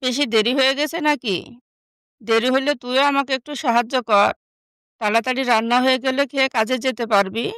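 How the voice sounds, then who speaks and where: A woman acts out a soft-hearted, downtrodden young stepsister from an animated moral story. Her voice is high and smooth, recorded dry and close. She speaks submissively, as if always pleading to be accepted. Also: brisk, dry recording, lightly acted. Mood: meek.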